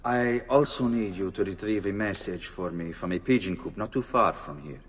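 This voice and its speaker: A male actor as a stern middle-aged father. He gives orders in a measured, authoritative way, with a reverberant room around him, as in a game drama. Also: sits low, close to an everyday voice. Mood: firm, fatherly. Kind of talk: dramatic video game acting.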